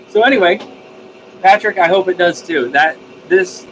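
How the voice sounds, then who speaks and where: Male streamer, somewhat higher voice, folksy and effusive casual chat, noisy recording. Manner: folksy, informal, mildly profane; enthusiastic, effusive. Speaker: live stream host of a DIY radio channel.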